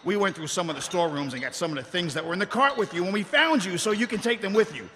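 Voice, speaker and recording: A man's voice: high-pitched, animated, fast talker, fairly bright tone. A man playing an awkward, fatherly dwarf king in live radio theatre, hesitant, stammering and apologetic. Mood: brisk, fatherly. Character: awkward, fatherly dwarf king breaking news to his son. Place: live convention stage. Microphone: stage microphone on stand. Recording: reverberant hall stage, faint noise.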